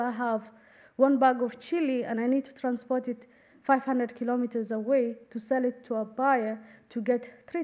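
Woman from kenya speaking at a podium mic, earnest and measured, bit high pitched, conference stage. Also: dark tone. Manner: earnest, measured, with fillers and gestures. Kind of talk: prepared talk at a podium. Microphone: podium microphone.

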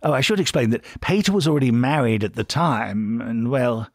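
A man's voice: medium pitch, moderate pace, dry recording, studio quality, voice pushed up, natural voice. A man voicing a polite, slightly hesitant big brother with an upper-class British accent in a storybook. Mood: explaining. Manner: polite, hesitant, old-fashioned diction.

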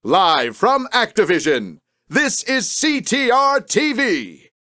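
High, bright, drawn-out TV announcer. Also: male voice, very animated, no room sound, clean studio sound, full character voice. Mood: bombastic. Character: booming, excited male TV show announcer. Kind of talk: TV show intro announcement.

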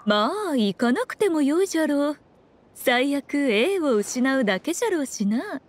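A woman's voice: sits high, big pitch swings, moderate pace, slight background noise. A woman playing a sly, regal fox shrine priestess in an anime game, speaking in an archaic, haughty and composed way. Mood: teasing, cool. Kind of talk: anime video game acting. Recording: music behind the voice.

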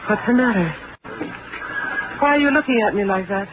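An actress playing a frightened, insistent young newlywed wife in an old radio drama, speaking urgently. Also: female voice, fairly high pitch, animated, normal speed, lightly acted. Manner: urgent, pleading. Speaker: lead. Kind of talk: 1940s radio acting. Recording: dull lo-fi old radio recording, hiss.

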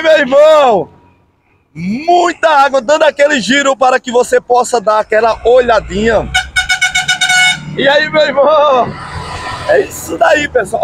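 Upbeat high-voiced man outdoors. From Brazil. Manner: upbeat, drawn-out phrases, frequent "hein, pessoal?" tags. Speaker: channel host. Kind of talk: casual vlog narration. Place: outdoors on a road bridge over a river. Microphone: phone camera at arm's length.

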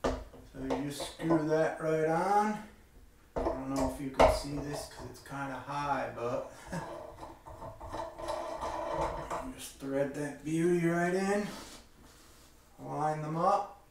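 A man's voice: on the high side, slow, vocal fry. A middle-aged man giving a casual hands-on demonstration in a folksy, informal, plain-spoken way. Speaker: hobby vlogger demonstrating a product. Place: small indoor room at home. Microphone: camera a few metres away. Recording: very echoey room, distant mic, faint noise.

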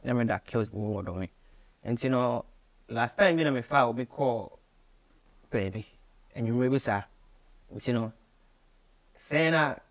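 A man's voice: medium pitch, lively intonation, measured pace, pauses often. A man in his twenties with a Ghanaian accent, telling a story conversationally as a musician guest in an interview, often repeating phrases. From Ghana, Kumasi. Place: small radio studio, indoors.

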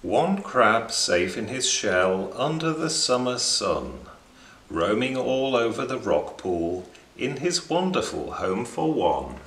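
A man in his thirties reading a rhyming children's picture book aloud in an expressive, measured, dramatic way. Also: mid-range voice. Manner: measured, dramatic delivery for young listeners. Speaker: children's storybook reader. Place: small indoor room beside bookshelves. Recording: roomy indoor echo.

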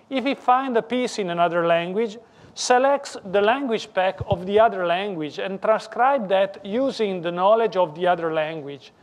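A man with an Italian accent, a native Italian speaker, lecturing in halting English that he often corrects mid-sentence. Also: high-pitched. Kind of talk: technical conference talk. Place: lecture room.

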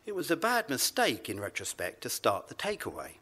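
A man reading his own literary story aloud to a live festival audience, measured and wry. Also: medium pitch, huge pitch swings, pushed and bright, slightly rough.

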